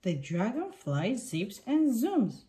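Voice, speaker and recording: Slow woman reading a children's picture book. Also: fairly high pitch, huge pitch swings, dark tone.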